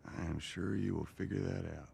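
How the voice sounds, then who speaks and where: An actor playing a weary, guarded middle-aged smuggler in a video game, curt and gruff with a gravelly voice. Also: male voice, sits low, steady tone, brisk, darkish tone, no room sound, exaggerated voice. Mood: curt, dismissive. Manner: curt, terse. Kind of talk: video game cutscene acting. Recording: game scene under heavy rain noise.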